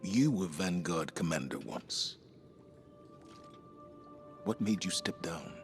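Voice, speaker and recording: Male commander: deep and gravelly, grave, deliberate, duty-bound, game drama. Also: expressive, music in the background, full character voice. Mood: resolute. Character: dutiful, restless male military commander. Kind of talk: dramatic game cutscene dialogue.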